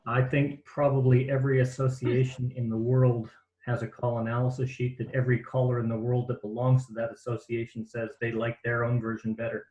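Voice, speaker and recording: A man's voice: mid-range voice, flat delivery, brisk, a little mellow. A man hosting an online training session, explaining things in a rambling, self-deprecating way over a video call. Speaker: host of a caller training session. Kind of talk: casual online meeting chat. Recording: echoey room, dull sound.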